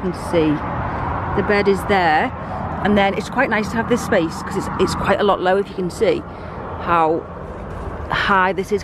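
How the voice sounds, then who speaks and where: A British woman in her thirties giving a relaxed, chatty walkthrough of her car, filmed on a handheld camera. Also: on the low side, noisy background. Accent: British English. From United Kingdom. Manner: chatty, relaxed, lots of fillers. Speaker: vlogger. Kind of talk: casual show-and-tell walkthrough. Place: inside and around a car, outdoors by the sea.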